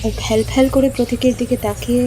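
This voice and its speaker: A woman's voice: fairly high pitch, level tone, fast, noisy background. A woman narrating a scripted audio story. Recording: music under the voice.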